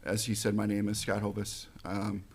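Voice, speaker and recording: Gravelly low man in his forties. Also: monotone, a little mellow. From Missouri, USA. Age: 40s. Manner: plain, halting, with fillers and restarts. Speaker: school board candidate. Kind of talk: candidate forum answers.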